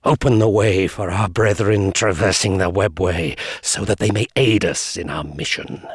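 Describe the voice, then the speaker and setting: A man's voice: low-pitched, moderate pace, reverberant, lightly acted. An actor playing a solemn ancient male alien seer who gives battle briefings in a grave, portentous voice. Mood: commanding. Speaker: mission briefing narrator. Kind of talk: solemn game cutscene narration.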